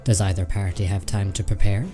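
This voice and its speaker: An actor playing a sober, reasonable imp scout in a radio play, speaking in an understated, formal, matter-of-fact way. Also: male voice, deep voice, level tone, a little fast, dark tone, natural voice. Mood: calm, analytical. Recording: faint music and insect chirps behind.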